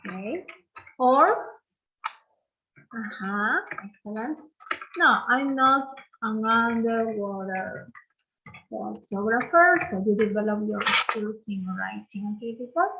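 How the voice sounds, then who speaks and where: A woman's voice: fairly high pitch, takes it slowly, creaky, long pauses, dull audio, background noise. A woman teacher from Peru with a Peruvian Spanish accent, leading an online English lesson and patiently repeating phrases for learners to copy.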